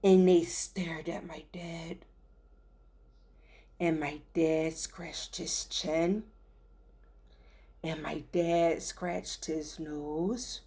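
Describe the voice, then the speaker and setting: A woman reading a rhyming children's story aloud with theatrical, deliberate phrasing. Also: low voice, fairly even intonation, mellow.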